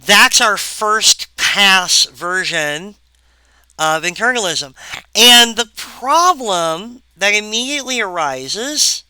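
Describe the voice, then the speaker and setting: A woman giving a recorded philosophy lecture, halting and full of wry asides to the viewer. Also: medium pitch, lively intonation, bright, forceful tone, smooth, no room sound, poor audio.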